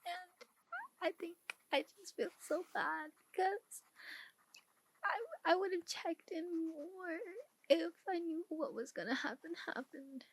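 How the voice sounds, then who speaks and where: A woman playing a flustered, defensive mother of a missing daughter, speaking softly and hesitantly in an ASMR roleplay. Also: extremely high pitch, slow, voice pushed up, full character voice, poor audio. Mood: tearful, guilty. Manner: hesitant, emotional, rambling excuses. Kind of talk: ASMR roleplay, soft-spoken.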